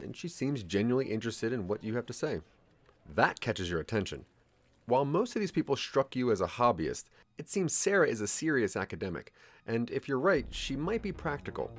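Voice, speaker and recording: A man narrating an interactive audio drama in the second person, with expressive storytelling. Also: medium pitch.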